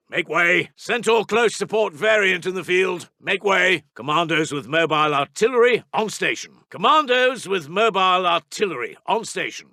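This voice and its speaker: A male actor playing a brisk, urgent British wartime intelligence officer in a war game, giving clipped, formal military announcements with a British accent. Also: high voice, creaky, sharp tone, dry recording, studio quality, full character voice. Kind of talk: scripted game unit announcements.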